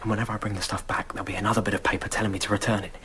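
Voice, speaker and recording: An actor playing a frightened, loyal servant in a radio drama, speaking with deferential, hushed urgency. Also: male voice, on the low side, level tone, very fast, slight background noise. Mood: anxious, indignant.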